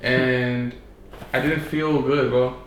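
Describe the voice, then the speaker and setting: A man's voice: medium pitch, flat delivery, slow. Blunt swearing podcast host man. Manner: blunt, swears freely, says "bro" a lot. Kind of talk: casual chat. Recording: roomy with faint noise.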